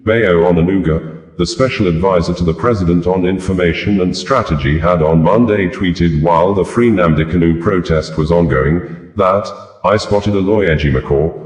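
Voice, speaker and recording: A man reads scripted news in a formal, measured manner. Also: low-pitched, a little mellow, reverberant. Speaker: news reader.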